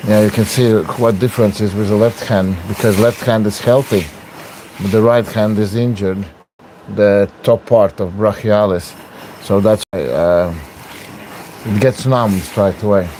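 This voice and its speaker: A man's voice: lowish voice, steady tone, measured pace, noisy background. A man from Latvia, an arm wrestler talking casually to viewers in broken English while he trains. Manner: broken English, chatty, talks through exertion.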